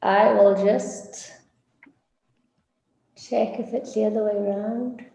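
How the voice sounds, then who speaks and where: A woman's voice: medium pitch, steady tone, slow pace, vocal fry, dark tone. A woman speaker apologizing in a flustered way while fixing her camera. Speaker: webinar speaker. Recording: roomy echo on call audio.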